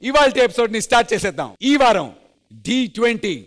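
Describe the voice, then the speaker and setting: High-energy fast-talking male Telugu show host. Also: noisy background. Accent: Indian Telugu. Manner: excited, projected announcing. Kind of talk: high-energy stage hosting. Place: TV dance show stage. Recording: loud music behind.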